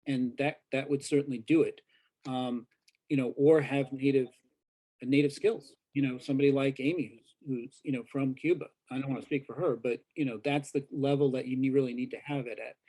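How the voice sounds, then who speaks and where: A man takes part in a panel discussion over a video call. His voice is dark and mellow. He speaks in a measured, thoughtful way, and his sentences often trail off softly. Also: mid-range voice. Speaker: journalist, panelist.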